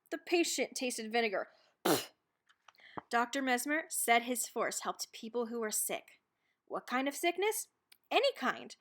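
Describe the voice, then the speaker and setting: A woman reading a children's book aloud as narrator, expressively and dramatically, leaning on key words and pausing for effect. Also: a little high, fairly bright tone. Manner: dramatic, with stressed words and pauses. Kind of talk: expressive scripted storybook reading.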